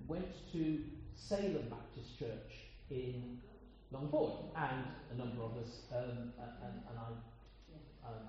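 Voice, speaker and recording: An older man from with a loud voice, preaching an informal sermon in a chatty, meandering way with frequent pauses. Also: mid-range voice, slight background noise. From Coventry, England. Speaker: lay preacher. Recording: echoey hall sound, dull lo-fi.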